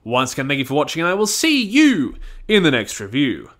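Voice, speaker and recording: Animated, bright-voiced man reviewing. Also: a little high, brisk. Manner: sweary, blunt, opinionated. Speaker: reviewer, channel host. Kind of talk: scripted review narration.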